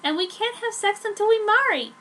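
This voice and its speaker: A high-pitched, melodramatic teenage girl acted out by a woman in an amateur story reading, with clear background noise. She is lovesick and pious, gushing and exclaiming in an overwrought way. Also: lively intonation, brisk, bright, forceful tone, full character voice. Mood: panicked, indignant. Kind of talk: mock-dramatic fan fiction reading.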